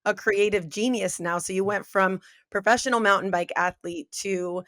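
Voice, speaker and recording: A woman's voice: medium pitch, steady tone, normal speed, a bit bright, no echo, clean studio sound. A woman hosting a podcast, giving an enthusiastic introduction and asking upbeat interview questions. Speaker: podcast host.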